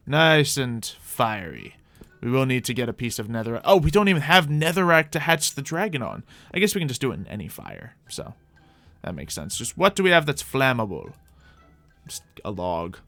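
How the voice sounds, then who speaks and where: A man's voice: mid-range voice, a bit bright, no echo. A man giving casual, rambling gameplay commentary as a video host, thinking aloud in a playful way. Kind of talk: casual rambling Minecraft let's play commentary.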